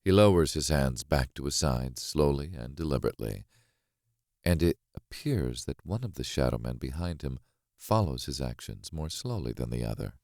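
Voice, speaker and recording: A man narrating a thriller audiobook in the first person, in a measured, brooding and dramatic way. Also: rumbling low, animated, gravelly, a little mellow, dry recording, studio quality.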